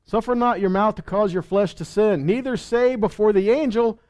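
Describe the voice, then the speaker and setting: A man's voice: high voice, normal speed, a little mellow. A man giving an informal Bible teaching, reading scripture and commenting in a conversational way with rhetorical questions and frequent check-ins.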